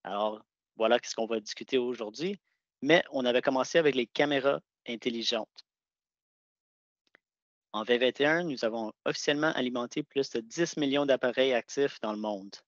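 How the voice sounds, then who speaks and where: A man's voice: medium pitch, normal speed, dry recording. Man presenting over dull, dry call audio. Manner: conversational, hesitant with frequent restarts. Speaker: sales engineer presenting products. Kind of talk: webinar product presentation. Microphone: video call.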